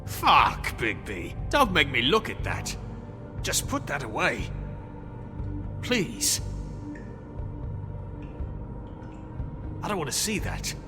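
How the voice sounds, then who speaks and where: An actor playing a cheeky, defiant suspect in a video game, flippant and sarcastic. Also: male voice, fairly high pitch, wildly swooping pitch, slow pace, long pauses, exaggerated voice. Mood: upset. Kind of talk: video game dramatic acting. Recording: long hall reverb, music behind.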